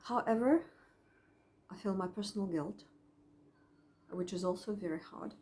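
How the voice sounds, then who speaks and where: A Russian-accented woman psychologist speaks slowly from her home. Her delivery is reflective and halting, full of pauses and self-corrections. Her pitch sits in the middle range. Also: a little mellow. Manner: thoughtful, halting, with frequent pauses and restarts. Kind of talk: personal reflection to camera.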